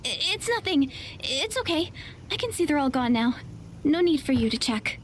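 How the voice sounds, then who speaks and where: A woman's voice: high voice, sing-song, moderate pace, a little hiss or hum. A woman voicing a flustered, plucky, girlish young maiden in an anime-style video game. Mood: shy, flustered.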